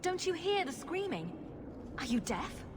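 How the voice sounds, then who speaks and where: A woman playing a sarcastic, quick-witted rogue adventurer with a flippant manner in a video game. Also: medium pitch, very animated, background noise, lightly acted. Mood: urgent. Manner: wry, flippant. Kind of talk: video game dialogue acting.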